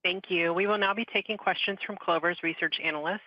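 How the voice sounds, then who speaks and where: A woman's voice: lowish voice, steady tone, fast talker, no echo. A woman reads scripted instructions as a conference call operator. The sound is dry and somewhat dull.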